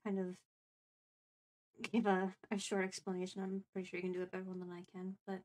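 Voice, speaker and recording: A woman's voice: mid-range voice, completely flat delivery, brisk, darkish tone, slight background noise. A woman co-hosting a livestream, chatting casually and picking her words carefully and hesitantly. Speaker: streamer and co-host answering viewer questions.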